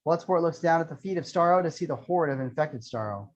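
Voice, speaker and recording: Higher-pitched man narrating action, dull recording. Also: lively intonation, fast talker. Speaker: reader of screenplay action lines. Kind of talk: scripted narration at an amateur table read. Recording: dull lo-fi sound.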